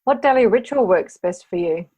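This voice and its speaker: A woman's voice: mid-range voice, animated, normal speed, dark tone, breathy edge. Encouraging woman hosting an interview. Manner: encouraging, affirming listener. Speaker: interviewer, host. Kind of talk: casual, upbeat interview chat.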